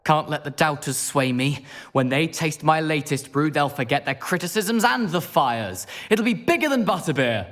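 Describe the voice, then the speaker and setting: A man playing an eager, reckless teenage schoolboy tinkerer in a video game, earnest and excitable. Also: a little high, moderate pace. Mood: excited, defiant. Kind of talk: video game character acting.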